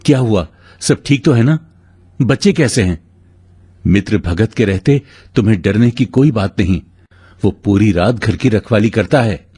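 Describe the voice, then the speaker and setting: A man voicing a loyal, protective werewolf brother in a children's story, with a husky voice and an earnest, solemn manner. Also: lowish voice, lively intonation, unhurried, long pauses, warm tone. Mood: reassuring. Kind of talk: dramatised children's story voice acting.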